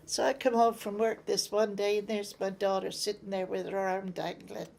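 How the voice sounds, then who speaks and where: A woman's voice: medium pitch, flat delivery, normal speed, gravelly, darkish tone. An older woman from England with an English accent, recounting her memories in an interview in a plain-spoken way. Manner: plain-spoken storyteller, acts out remembered exchanges.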